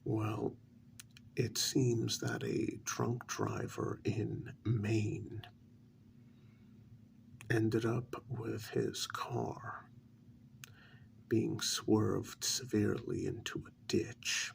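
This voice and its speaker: A man performs a subdued, respectful field agent in an ASMR role-play, reporting to a superior. His gravelly voice is lowish and half-whispered, with halting phrases that swing noticeably in pitch. A faint noise sits behind the close-miked delivery. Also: animated, exaggerated voice. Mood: measured, subdued. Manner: measured, halting, deferential.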